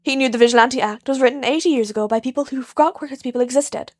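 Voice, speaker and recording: Female fiction narrator, higher-pitched, scripted storytelling, dry recording with some music. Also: quick pace, no echo. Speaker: podfic narrator.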